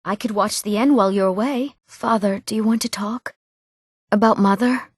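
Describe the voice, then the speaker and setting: A young woman voicing an eager village woman in a fantasy video game, speaking earnestly and clearly in scripted lines. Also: mid-range voice, fairly even intonation, normal speed, dry recording, natural voice. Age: young adult.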